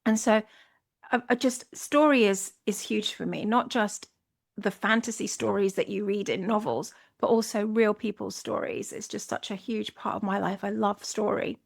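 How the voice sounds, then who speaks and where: A woman's voice: medium pitch, moderate pace, creaky. A Scottish woman hosting a solo podcast, speaking in an earnest, reflective way with frequent restarts. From Scotland. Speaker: podcast host.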